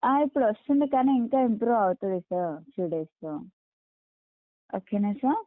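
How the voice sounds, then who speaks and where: Woman, customer care agent, rapid delivery, deferential and reassuring, tinny phone audio. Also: mid-range voice, lively intonation, no echo. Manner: apologetic, reassuring, deferential with frequent "sir". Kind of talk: phone customer support, polite scripted phrases. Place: on a phone line. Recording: tinny, narrow phone call recording.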